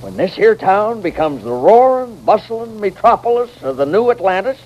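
Casting: gullible Old West barkeep, American, colloquial and lively, vintage radio western. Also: male voice, sits high, normal speed, dull audio. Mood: excited, secretive. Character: folksy, gullible male frontier saloon keeper. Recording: noisy vintage radio recording.

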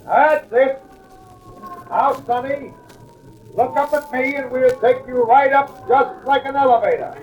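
Rooftop fireman, very high raised voice, encouraging, distant from mic, vintage radio drama. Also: steady tone, unhurried, pauses often, darkish tone, a little hiss or hum. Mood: urgent reassurance. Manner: calling out, encouraging. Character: reassuring fireman shouting instructions from a rooftop. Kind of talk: 1940s radio drama acting.

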